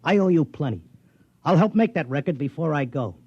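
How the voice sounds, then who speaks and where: A man's voice: a little high, lively intonation, a little fast, breathy edge, no room sound. An American man playing a brash, stubborn horse-racing man with blunt, slangy talk in a 1950s radio drama. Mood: eager. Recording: muffled vintage radio recording with music.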